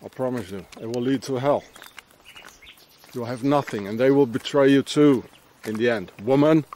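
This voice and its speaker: A man's voice: medium pitch, moderate pace, a little mellow, slight background noise. A middle-aged man rambling and ranting in a meandering monologue as he walks a countryside path, often tagging on questions. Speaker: vlogger. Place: outdoors in the countryside, walking a path.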